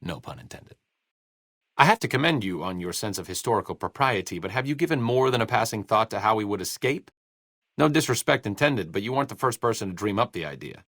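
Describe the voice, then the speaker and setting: A bookish, self-deprecating video game doctor, speaks in a low, closely recorded voice. His delivery is sardonic and erudite, rising and falling freely as he makes his dry understatements. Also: expressive, brisk, fairly bright tone, dry recording. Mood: wry, hesitant. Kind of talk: video game character dialogue.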